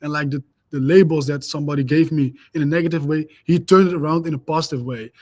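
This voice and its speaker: Energetic Dutch-accented man, higher voice. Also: fast talker, no room sound. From Netherlands. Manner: energetic, eager. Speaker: guest. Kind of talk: casual interview chat.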